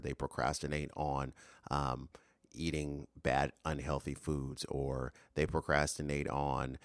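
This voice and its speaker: A man's voice: extremely low pitch, clean tone, no echo, studio quality. A man in his thirties hosting a solo motivational podcast, earnest and rambling with fillers and restarts. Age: 30s. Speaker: podcast host.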